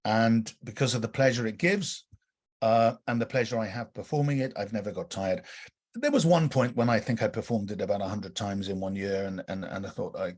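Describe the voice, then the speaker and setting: A man's voice: lowish voice, brisk, darkish tone, slightly rough. A middle-aged man from North London with a slight Welsh lilt, telling rambling stories as a podcast guest, with many fillers and restarts. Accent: slight Welsh lilt over London English. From North London, England. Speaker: guest actor and director. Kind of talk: relaxed anecdotal podcast interview.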